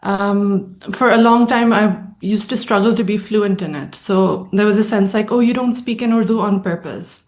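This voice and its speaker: A woman psychologist speaking as a panel guest, thoughtful and measured, often pausing to search for the right words. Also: mid-range voice. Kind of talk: reflective panel conversation.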